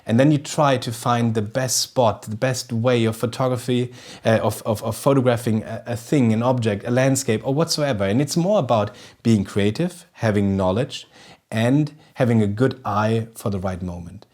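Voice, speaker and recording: A man's voice: medium pitch, normal speed, a little mellow. German-accented man hosting a photo tutorial. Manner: eager, restarts often, searches for English words. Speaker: professional photographer presenting a tutorial. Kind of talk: casual spoken tutorial to camera. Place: indoor studio kitchen set with festive decorations.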